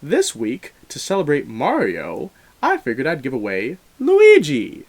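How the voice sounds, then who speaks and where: A man's voice: fairly high pitch, wildly swooping pitch, a little slow. A man hosting a video show, talking casually to viewers in a playful, self-mocking way. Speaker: host of a film review show. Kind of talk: casual vlog outro announcing a giveaway.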